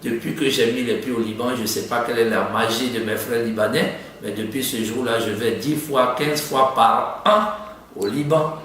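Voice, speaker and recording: A man's voice: mid-range voice, moderate pace, fairly bright tone. A man answers interview questions conversationally, repeating phrases for emphasis. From Côte d'Ivoire (Abidjan), of Lebanese descent. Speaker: doctor and city councillor being interviewed. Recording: echoey room, distant mic, faint noise.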